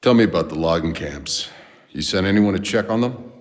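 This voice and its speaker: An actor playing a weary, cynical, foul-mouthed sci-fi soldier for a video game, with a gruff, sardonic, laconic delivery and a gravelly, husky voice. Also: male voice, low voice, expressive, brisk, in a large hall. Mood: weary, guarded.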